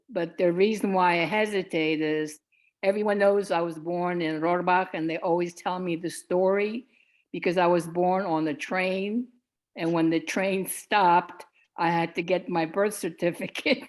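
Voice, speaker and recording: Slow-talking older woman. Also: fairly low pitch. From United States. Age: 70s. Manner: meandering, restarts often. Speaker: guest. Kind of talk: casual storytelling. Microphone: video call.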